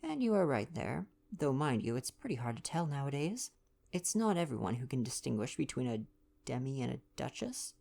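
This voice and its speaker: A woman's voice: very deep voice, sing-song, moderate pace, gravelly, dark tone, full character voice. A woman voicing a cheeky, slangy young American-born actress and acrobat in a read-aloud novel. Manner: slangy, brash, playful.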